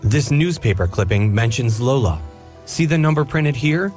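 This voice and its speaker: An actor playing a calm, inquisitive detective in a game, reasoning aloud in a measured way. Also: male voice, medium pitch, soft background music, natural voice. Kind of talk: game cutscene voice acting.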